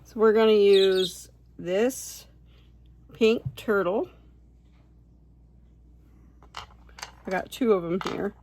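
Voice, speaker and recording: A hoarse woman who is losing her voice, chatting casually and unhurriedly as she makes bead crafts on a vlog. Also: mid-range voice, darkish tone. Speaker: craft vlogger.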